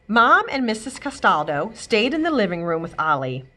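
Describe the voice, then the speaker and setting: Woman librarian, storybook read-aloud, animated and expressive, mid pitch. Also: normal speed. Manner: expressive, lively character voices. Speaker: children's librarian reading aloud. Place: library, indoors.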